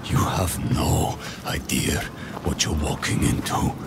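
An actor playing a grim, wary captive slaver in a dark fantasy game, gravelly and terse. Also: male voice, rumbling low, steady tone, takes it slowly, heavy background noise, exaggerated voice. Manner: terse, foreboding. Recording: loud music under the voice.

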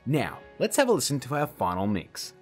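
A man's voice: mid-range voice, huge pitch swings, moderate pace, faint music behind. A man in his thirties hosting a casual scripted tutorial in a jokey, emphatic, theatrical way. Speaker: tutorial host.

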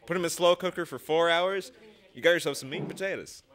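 Young male host, bright slightly high voice, folksy, chatty ad-libs. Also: fast. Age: young adult. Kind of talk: casual ad-libbed show hosting. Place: small indoor studio. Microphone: stand microphone.

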